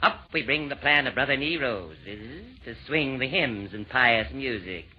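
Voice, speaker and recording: A man's voice: mid-range voice, animated, a little slow, natural voice. A man playing a suave, commanding devil who chairs a council of fiends in formal, oratorical rhyme. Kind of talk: 1930s radio verse comedy acting. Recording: dull, noisy old radio broadcast.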